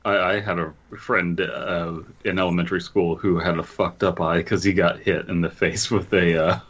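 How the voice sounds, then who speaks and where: A man hosting a podcast in casual comedic talk, joking and a bit halting, on a slightly dull microphone. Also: low voice, little pitch movement, fairly bright tone, husky. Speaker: podcast host. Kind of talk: casual comedic chat, reading stories aloud.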